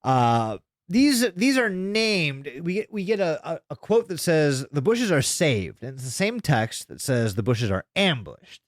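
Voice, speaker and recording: A man's voice: on the high side, sing-song, measured pace, few pauses, no room sound, studio quality. A man co-hosting a podcast, casually retelling a story in a rambling, sweary way. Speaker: podcast co-host.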